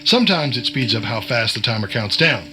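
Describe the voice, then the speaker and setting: A man's voice: medium pitch, lively intonation, fairly quick, over music, natural voice. A man playing a cagey, wisecracking veteran insider in an audio drama, with a folksy, chiding, cryptic manner. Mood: firm, cautionary. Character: cagey, wisecracking male veteran insider and short-order cook.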